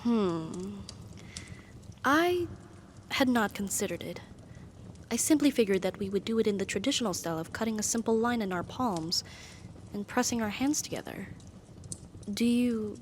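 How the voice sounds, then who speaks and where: A woman playing a powerful demon devoted to her master in an intimate ASMR roleplay, speaking formally in a soft, breathy voice that often drops to a whisper. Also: on the high side, a little hiss or hum, close to an everyday voice. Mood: hesitant, concerned. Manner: formal, devoted, slow and hesitant phrasing.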